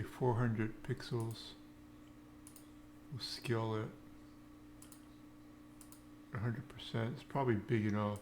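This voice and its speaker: A man giving a casual coding screencast, thinking aloud in halting, unscripted phrases. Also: fairly low pitch, slight background noise. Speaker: programming tutorial presenter.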